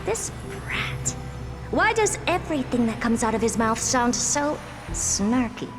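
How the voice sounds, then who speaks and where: An actress playing a haughty, impatient genius scholar in a video game, speaking in an imperious, theatrical and self-important way. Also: female voice, a little high, very animated, measured pace. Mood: wry, irritated. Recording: loud music under the voice.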